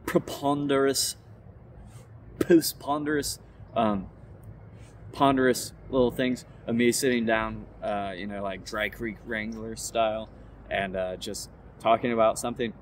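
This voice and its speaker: A man's voice: fairly low pitch, fairly even intonation, measured pace, recorded from a distance, slight background noise. A man telling a comic story aloud, reading dramatically with informal asides and stumbling self-corrections.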